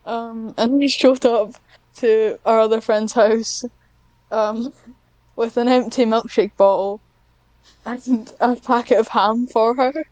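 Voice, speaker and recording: A woman's voice: a little high, fairly even intonation, slow, darkish tone, no echo, poor audio. A young woman with a British accent, chatting casually as a podcast guest and telling stories in a rambling, giggly way. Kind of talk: casual chat.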